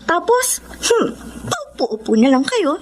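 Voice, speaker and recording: A woman's voice: very high voice, very animated, measured pace, exaggerated voice. A Filipino woman playing a hopeful, devoted schoolgirl daughter and eldest sister in a Tagalog radio drama, speaking eagerly and earnestly. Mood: dreamy, hopeful. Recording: faint background noise.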